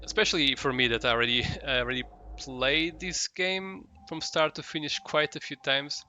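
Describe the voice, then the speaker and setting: A man's voice: a little high, steady tone, normal speed, sharp tone, a little hiss or hum. A man doing casual live commentary as a game streamer, rambling and hesitant as he searches for his words.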